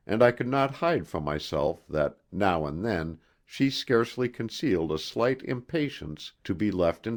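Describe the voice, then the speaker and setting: A man narrating a literary horror story as an audiobook, in a measured and expressive manner. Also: low-pitched.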